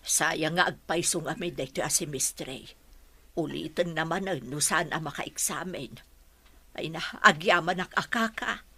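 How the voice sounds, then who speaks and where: A woman's voice: deep voice, measured pace, pushed and bright, breathy edge, heavily acted. A woman with an Ilocano Filipino accent playing a worried, long-suffering rural wife and mother in a radio drama. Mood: worried, resigned.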